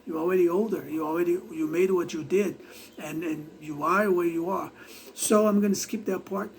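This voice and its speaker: A Brazilian man with an accent talking to camera in a casual vlog monologue, rambling and halting, with many restarts. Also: high voice, fairly even intonation, vocal fry, rough recording. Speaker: vlogger.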